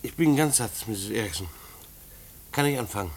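An actor playing a kindly, patient mail carrier in a German radio play, reticent and gently soothing. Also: male voice, lowish voice, expressive, moderate pace, husky.

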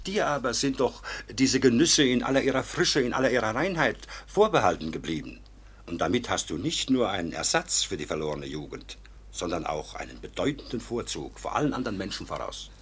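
Patient, fatherly old judge; lowish, husky voice; radio play. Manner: warm, reassuring, patient. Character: kindly, fatherly older nobleman and judge. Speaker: legal official and guardian. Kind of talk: radio drama acting.

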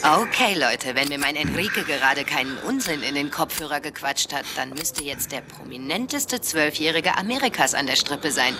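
Actor as talk radio host, medium pitch, chatty coaxing delivery, clear music bed, radio drama. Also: expressive, normal speed, hardly any pauses, natural voice. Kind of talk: radio talk show presenting within a radio drama.

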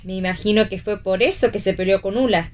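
A woman's voice: mid-range voice, fairly quick, lightly acted. An Argentine woman playing a breezy, forward young friend in a theatrical radio play reading. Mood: cheeky.

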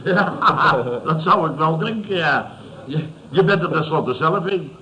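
An actor playing a scornful, blustering fifty-year-old building contractor boss in an old radio comedy, mocking and repeating himself. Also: male voice, on the high side, expressive, a little slow, bright, forceful tone. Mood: mocking, amused. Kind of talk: Dutch radio comedy acting around 1970. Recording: dull, noisy old radio recording.

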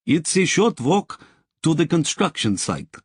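A man voicing a cheerful female site worker with a Russian accent, bright and teasing. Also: fairly high pitch, big pitch swings, unhurried, husky, no room sound, studio quality, voice pushed up, full character voice.

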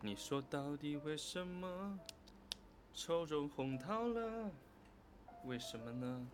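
A man co-hosting a fan quiz livestream, chatting casually and echoing short words in quick bursts as he reacts to answers. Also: on the high side, lively intonation, music in the background. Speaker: co-host of a fan quiz livestream for an audio drama.

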